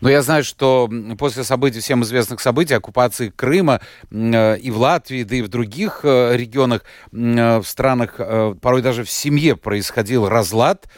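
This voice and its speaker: A man interviewing a guest, asking measured, probing questions. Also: mid-range voice, no room sound, studio quality.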